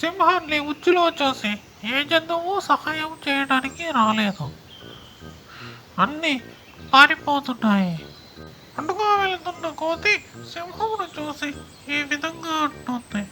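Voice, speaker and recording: A woman's voice: sits high, a little slow, long pauses, bright, forceful tone. A woman narrating a story for children as a storyteller.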